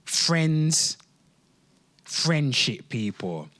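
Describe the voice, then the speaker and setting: A man's voice: on the high side, big pitch swings, extremely slow, a bit bright. A man speaking casually and emphatically in an off-the-cuff rant, with slang, swearing and repeated phrases, talking straight to his listeners. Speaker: host of a pop culture commentary show. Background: music.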